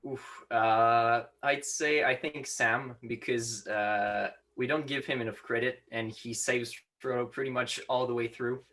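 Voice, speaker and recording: A man's voice: mid-range voice, fairly even intonation, a little slow, hardly any pauses, sharp tone. A seventeen-year-old young man from Quebec, a native French speaker with a slight Quebec French accent, answering interview questions hesitantly with many fillers. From Quebec, Canada. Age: teens. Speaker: guest maker.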